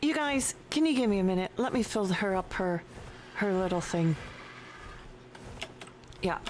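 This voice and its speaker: A woman streaming a game at her home desk, chatting casually and slowly with her viewers and her pet. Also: mid-range voice, expressive, darkish tone, a little hiss or hum. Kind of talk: casual live-stream chat while playing. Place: home desk with a cat nearby.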